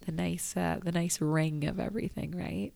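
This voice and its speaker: Casual woman interviewer, slightly low voice. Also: flat delivery, moderate pace, vocal fry, mellow. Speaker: interviewer, radio show host.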